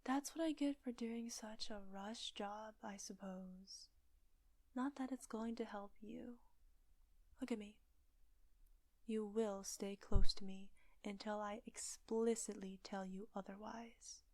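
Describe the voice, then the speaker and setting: A woman acting a seductive, controlling witch in an intimate roleplay audio drama, with breathy, teasing, commanding delivery. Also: mid-range voice, fairly even intonation, slow pace, darkish tone, no echo, natural voice.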